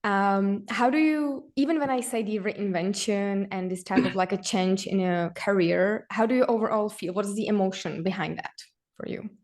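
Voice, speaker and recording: A woman's voice: medium pitch, steady tone, normal speed, darkish tone. A woman host opening the show and asking her guest questions in a welcoming way. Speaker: podcast host. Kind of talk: interview hosting.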